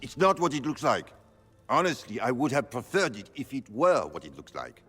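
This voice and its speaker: A desperate, embarrassed conspirator in a fantasy game. His husky voice swings widely as he pleads. It rings with long hall reverb. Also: mid-range voice, very animated, normal speed, a bit bright, soft background music. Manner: pleading, flustered. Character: panicked, pleading male palace conspirator, duped and embarrassed. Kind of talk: dramatic fantasy game dialogue.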